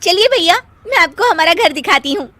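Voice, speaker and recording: A woman's voice: very high voice, lively intonation, fairly quick, over music, pitched above the performer's own voice. A woman voicing a polite, eager young newlywed daughter-in-law in a story, sweet and deferential. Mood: eager, surprised.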